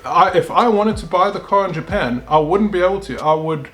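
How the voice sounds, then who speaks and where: A man's voice: sits high, a little fast, faint music behind. A South African man in his thirties chatting casually about cars as a host. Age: 30s. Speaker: game show host and car YouTuber.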